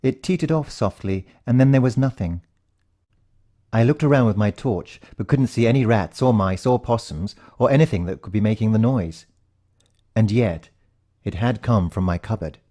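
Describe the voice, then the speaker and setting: A man's voice: lowish voice, normal speed, warm tone. A British man narrating a first-person story in a dramatic, suspenseful way. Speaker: audiobook narrator.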